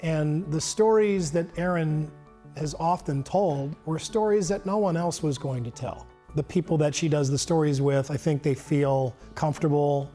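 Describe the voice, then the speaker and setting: A man's voice: a little high, normal speed, mellow, faint music behind. A man anchoring a newscast with a polished, earnest delivery. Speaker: news anchor. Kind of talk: newscast introduction.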